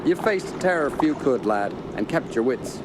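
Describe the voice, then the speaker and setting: An actor playing a learned, middle-aged rationalist uncle in a radio horror drama, measured and lecturing, encouraging yet dismissive. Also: male voice, mid-range voice, lively intonation, close to an everyday voice. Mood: reassuring, lecturing. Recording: clearly noisy outdoor ambience.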